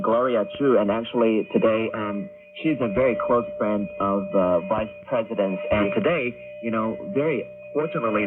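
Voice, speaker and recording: A man working as a radio host, announcing and chatting with a co-host. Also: medium pitch. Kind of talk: radio announcing and co-hosted chat. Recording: tinny shortwave radio recording with music.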